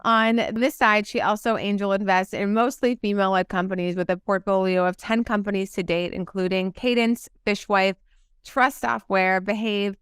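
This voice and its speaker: A woman's voice: mid-range voice, steady tone, normal speed, clean tone, dry recording, clean studio sound. A woman hosting a podcast interview, upbeat as she introduces and questions her guest. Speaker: podcast host.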